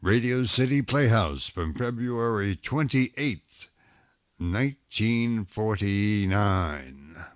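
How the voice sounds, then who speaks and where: A man's voice: fairly low pitch, animated, takes it slowly, dry recording, studio quality. A man presenting radio program continuity between vintage recordings. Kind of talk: slow program continuity announcing. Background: music.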